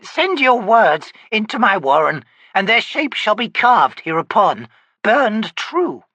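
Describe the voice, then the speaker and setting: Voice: mid-range voice, big pitch swings, bright, forceful tone, no room sound, highs cut off, voice pushed up, heavily acted. A narrator voicing an ancient, cackling, toothless old woman who hisses and shrieks her cryptic answers. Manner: hissing, shrieking, slow cryptic pronouncements.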